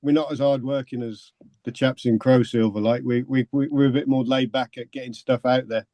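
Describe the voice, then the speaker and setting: A man's voice: mid-range voice, steady tone, a little fast, no room sound, lo-fi sound. A man who plays drums, talking in a laid-back, easygoing way. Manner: laid-back, laughing easily. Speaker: drummer. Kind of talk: casual interview.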